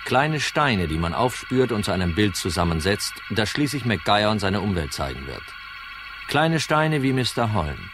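An actor playing a cool, dogged insurance detective in a radio crime drama, dry, terse and probing. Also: male voice, lowish voice, very animated, normal speed, noisy background. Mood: brooding narration. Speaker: lead actor and first-person narrator. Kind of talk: radio crime drama acting, noir narration.

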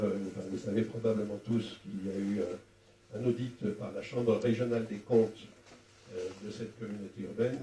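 An older man explaining things at a public debate in a halting, didactic way, speaking into a handheld microphone. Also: lowish voice, mellow. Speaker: former local councillor, panel speaker. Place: small wood-walled meeting room at a public event. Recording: roomy, distant sound with faint noise.

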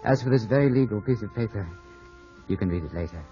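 A male actor as an impulsive, lovestruck young gentleman in a vintage radio play. His low voice is earnest and formal, pressing with intensity. The old broadcast is muffled, with music underneath. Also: animated, quick pace, close to an everyday voice. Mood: tender, earnest. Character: ardent, impulsive young man of twenty-five in love. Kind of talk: 1950s radio drama acting.

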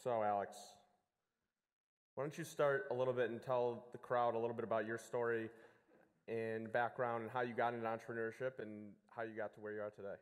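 A young man runs a stage conversation on a headset mic, his pitch squarely in the middle. He tosses in deadpan quips between questions. The sound carries a lingering hall reverb. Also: brisk. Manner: dry, joking interjections. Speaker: moderator. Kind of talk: onstage fireside chat. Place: large conference hall stage.

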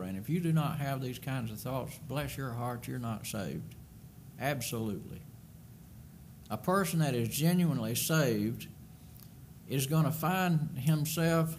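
An older man delivers an earnest sermon into a pulpit microphone in a church sanctuary. He presses his points with emphasis, doubling back on words as he goes, and a faint hum of room ambience sits behind him. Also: medium pitch. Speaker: preacher.

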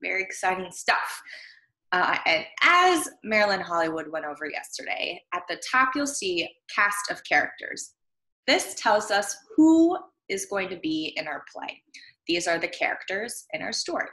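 Bright-voiced young American woman teaching. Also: fairly low pitch, sing-song, moderate pace. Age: young adult. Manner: simple, step-by-step explaining. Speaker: primary school reading teacher. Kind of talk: instructional screen-share lesson for young children. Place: small room at home. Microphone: computer webcam, video recording. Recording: roomy home computer microphone sound.

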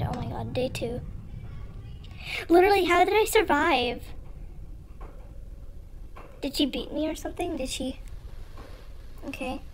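A woman's voice: high-pitched, noisy background. A young woman giving casual, nervous commentary as she plays a horror game, with an informal, jumpy manner. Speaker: gamer, video creator.

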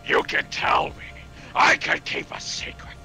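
A man voicing a loud, crude, big-boned prison warden villain in a game, gravelly and blustering. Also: measured pace, faint music behind. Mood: demanding, defiant. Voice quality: gravelly, harsh. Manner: blustering, defiant.

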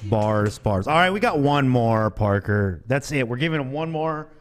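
A man's voice: medium pitch, animated. Joking man co-hosting a music stream. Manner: quick and joking, spins the songs. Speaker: co-host. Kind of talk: casual livestream chat. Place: studio with red curtains. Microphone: studio mic on a boom arm.

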